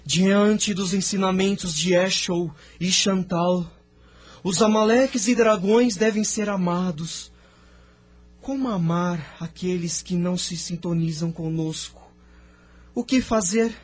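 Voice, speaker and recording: Solemn male seer for audio drama, played by an actor: lighter high register, slow stretched phrasing, grave and reverent. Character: male visionary seer recounting his world's past, solemn and sorrowful.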